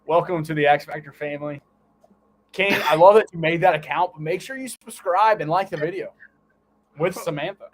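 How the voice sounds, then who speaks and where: A man's voice: on the high side, fairly quick. A man hosting a sports livestream, mixing live play-by-play with casual, teasing banter. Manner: banters and teases his co-host.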